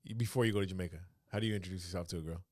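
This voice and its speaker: A man from Canada hosting a casual podcast chat, quick and joking, often dropping into impressions. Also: deep voice, steady tone, a little mellow, dry recording, studio quality. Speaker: podcast host.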